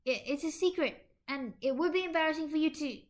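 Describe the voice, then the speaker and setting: A woman voicing a flustered, feisty teenage girl who stammers and squeaks in a fan fiction reading. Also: brisk, lightly acted. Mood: embarrassed. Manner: stammering, squeaky when startled.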